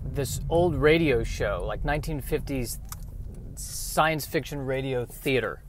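A man hosting a solo show, talking casually and haltingly as he thinks aloud. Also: medium pitch, slight background noise. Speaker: performer, host. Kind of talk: casual solo talk introducing improvised show.

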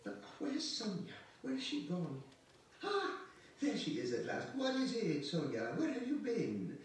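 Imperious, high-strung widow for an audiobook male reader, high-pitched, heard from afar in an echoing space. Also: huge pitch swings, unhurried, heavily acted. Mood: fussy reproach. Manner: fussy, imperious, scolding. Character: haughty, high-strung fifty-ish widowed mother at funeral dinner. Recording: echoey, distant, faint background noise.

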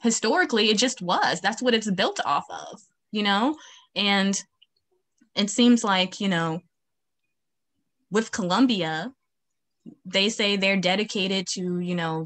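A woman's voice: on the low side, a bit bright. A young woman student activist speaking earnestly on a video call, with many fillers. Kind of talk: town hall video call. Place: home, virtual beach background.

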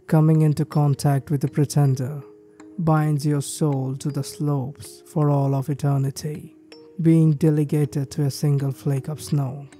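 Dark-voiced man narrating horror rules. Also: on the high side. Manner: steady, measured delivery of rules. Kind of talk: scripted creepypasta narration. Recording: faint background music.

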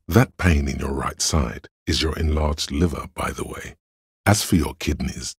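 Sardonic voice of reason, bottomless bass, heavily husky, measured, analytical, scripted video game narration. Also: male voice, dry recording, heavily acted. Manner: measured, deliberate, dry, analytical. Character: cool, sardonic inner voice of logic.

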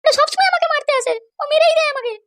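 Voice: very high voice, very fast, a bit bright. A performer voicing a tearful, wronged young sister-in-law who complains plaintively in a comedy cartoon. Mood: whining.

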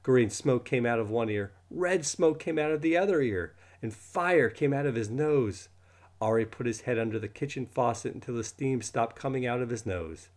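A man reading a children's storybook aloud in an expressive manner, acting out the words as he goes. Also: mid-range voice, normal speed. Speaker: storybook reader. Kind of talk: children's book read-aloud.

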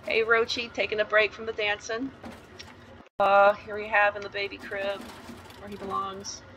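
A woman narrates a game walkthrough in a loose, chatty way, wandering off on asides and filling pauses with ums. Her voice is bright and forward, with a faint hiss of noise under it. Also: mid-range voice, completely flat delivery, poor audio. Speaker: video game tour guide. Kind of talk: casual gameplay voice-over tour.

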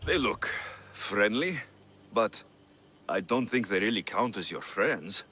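Bright, animated mercenary man. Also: on the low side, slow pace, husky, full character voice. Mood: gentle. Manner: firm, plain-spoken, emphatic. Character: adult male mercenary hero, blunt yet protective. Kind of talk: video game cutscene dialogue.

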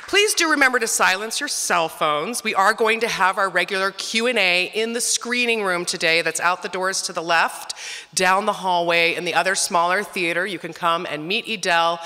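A woman's voice: medium pitch, pushed and bright. A middle-aged woman hosting an event, introducing a guest from a lectern microphone and reading from notes with small fillers. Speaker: event host. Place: auditorium stage at a lectern. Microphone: podium microphone. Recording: reverberant auditorium.

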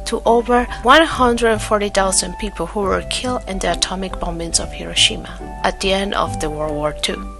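A woman narrating her own travel vlog in a voice-over, plain and unhurried, with small slips of the tongue. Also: mid-range voice, gravelly, over music. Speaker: travel vlogger.

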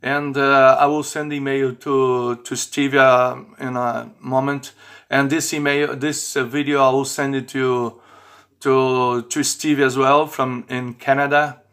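A man in his fifties, not a native English speaker, talking informally to camera in halting, repetitive phrasing. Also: mid-range voice, little pitch movement, a bit bright, clear, resonant tone. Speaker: amateur radio operator and vlogger. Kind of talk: informal video message to camera. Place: small bedroom, indoors.

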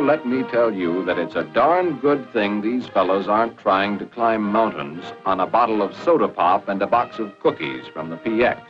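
An American man reads scripted 1940s educational film narration in a plain-spoken, folksy, instructive manner. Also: fairly low pitch. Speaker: narrator of an army training film. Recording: dull lo-fi 1940s film soundtrack.

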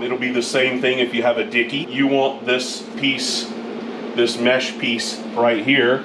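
Congested farmer in an echoey room. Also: male voice, medium pitch. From northern Florida. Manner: folksy, talkative, explains while demonstrating. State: congested, rough voice. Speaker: emu farmer. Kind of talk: casual how-to farm video. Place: small hatchery room indoors. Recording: echoey small room, air conditioner hum.

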